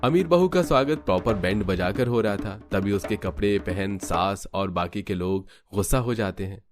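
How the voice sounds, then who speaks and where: Casting: male storyteller, very low pitch, scripted cartoon narration, light music bed. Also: moderate pace.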